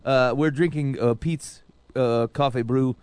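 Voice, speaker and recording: A man's voice: mid-range voice, steady tone, a little slow, a little mellow, clean tone, no room sound. A man co-hosting a podcast with casual joking banter and a wry, mock-formal way of putting things. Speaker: podcast co-host.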